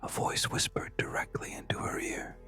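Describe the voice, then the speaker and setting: A narrator telling a scary story in a breathy, hushed whisper, with dramatic short suspenseful phrases. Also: moderate pace. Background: music.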